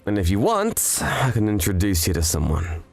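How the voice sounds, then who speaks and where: An actor playing men in a grim manga revenge drama. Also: male voice, lowish voice, wildly swooping pitch, normal speed, creaky, exaggerated voice. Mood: calm, grave. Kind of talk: dubbed manga drama dialogue. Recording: music behind the voice.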